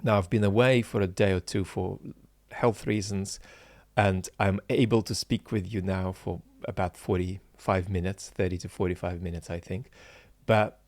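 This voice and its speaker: Deep-voiced, halting reflective man. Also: steady tone, darkish tone, dry recording. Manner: halting and searching, with frequent fillers and restarts; earnest and reflective, stressing key words. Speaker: political philosopher and channel host. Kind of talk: unscripted solo talk to viewers.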